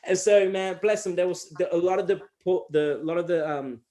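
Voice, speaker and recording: A man's voice: high-pitched, fairly quick. A man, a hip-hop artist who grew up speaking Gujarati, talking in a casual interview, telling stories animatedly and with many restarts. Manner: animated storytelling, acts out quoted exchanges; frequent fillers and restarts.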